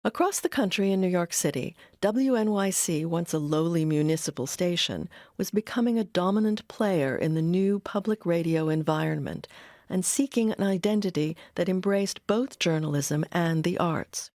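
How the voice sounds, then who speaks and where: A woman with an American accent giving scripted documentary narration in a measured, articulate way. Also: lowish voice, a little mellow, no room sound, studio quality.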